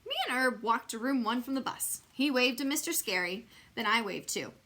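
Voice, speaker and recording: A spunky first-grade girl, played by a woman tells her own storybook tale. The voice is bright and swoops up and down, with blunt, dramatic emphasis and childlike phrasing. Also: a little high, lively intonation, moderate pace. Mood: chatty, excited. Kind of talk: children's storybook read-aloud in first person.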